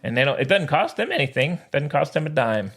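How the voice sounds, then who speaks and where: A man's voice: a little high, big pitch swings, fairly quick, fairly bright tone. A man co-hosting a sports podcast, talking in casual, slangy banter with a sarcastic, jokey, exclamatory manner.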